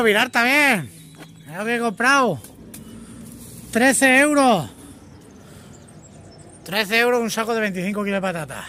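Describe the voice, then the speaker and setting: A middle-aged truck driver talks casually into a phone held at arm's length. His voice sits high for a man. Loud wind and traffic noise surround him by the roadside. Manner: chatty, greets viewers as artists. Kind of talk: casual vlog chat to camera. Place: outdoors by trucks and roadside, windy. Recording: noisy outdoor phone audio.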